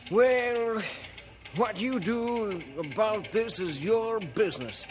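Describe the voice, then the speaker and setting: A man playing a plucky, slangy railroad underling in a 1950s radio adventure, talking brisk and cheeky to his boss. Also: high voice, expressive. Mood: urgent, cautioning.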